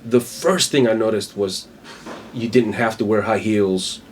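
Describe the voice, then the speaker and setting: A man's voice: fairly low pitch, measured pace, slight background noise. A middle-aged man with an American accent, a guest telling stories in a casual, reflective way, with frequent fillers. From Spain. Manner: reflective, frequent "you know" and "um". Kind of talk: casual conversational storytelling.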